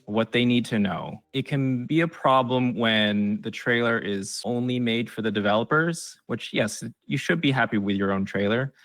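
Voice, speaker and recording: A man's voice: medium pitch, normal speed, hardly any pauses, a bit bright, dry recording. A man explaining things as an expert guest in a casual interview, often acting out imagined audience reactions in a conversational way.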